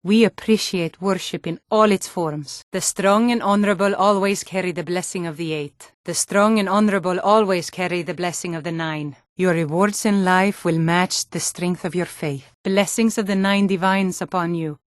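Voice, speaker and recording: A woman voicing a sturdy, plain-spoken Nord townswoman in a fantasy game, speaking scripted lines in a measured way. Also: lowish voice, steady tone, dry recording, studio quality, close to an everyday voice. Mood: solemn, caring.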